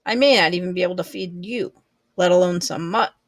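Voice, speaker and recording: A woman's voice: lowish voice, fairly quick, dry recording. Storybook part: worn-out, practical father done by a woman reader, resigned and plain-spoken, dry recording. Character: weary, practical working-class father.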